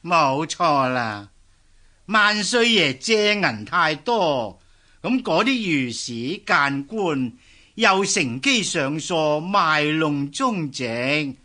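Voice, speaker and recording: A man's voice: fairly high pitch, very slow, exaggerated voice. A man with a Hong Kong Cantonese accent playing a scheming, obsequious palace eunuch in a historical radio drama. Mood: indignant. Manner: obsequious, flattering. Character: scheming, fawning male palace eunuch serving the emperor.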